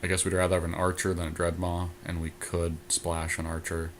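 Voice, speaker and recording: A man with a fairly low voice gives casual, unscripted game commentary as a podcast co-host. He talks conversationally, reasoning out loud, over some faint background noise. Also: monotone, brisk. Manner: thinking aloud, conversational.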